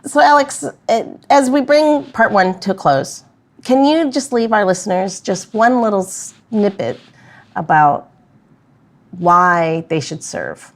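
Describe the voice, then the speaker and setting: A woman's voice: mid-range voice, takes it slowly. A woman hosting a podcast in a casual, chatty style. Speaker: podcast host.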